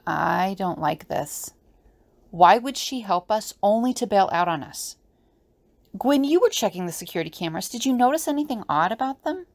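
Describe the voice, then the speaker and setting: A woman's voice: mid-range voice, lively intonation, normal speed. A woman voicing a bossy, exasperated woman adventurer in an audio drama, speaking with urgency. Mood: worried, impatient. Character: Ali, brisk exasperated woman adventurer on a mission.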